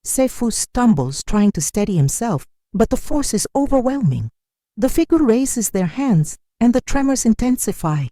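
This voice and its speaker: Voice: mid-range voice, sing-song, normal speed, warm tone, no echo, studio quality. A storyteller giving a dramatic scripted folktale narration in a solemn, suspenseful manner.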